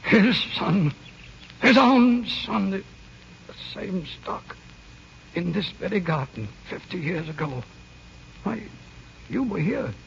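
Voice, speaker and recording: Stern bitter old uncle in old radio drama, really gravelly, emotional, muffled noisy sound. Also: male voice, high voice, animated, fairly bright tone, full character voice. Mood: anguished anger. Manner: stern, emotional, halting with repetition. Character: embittered old Irish uncle, stern, grieving and unyielding. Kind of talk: 1930s-40s radio drama acting.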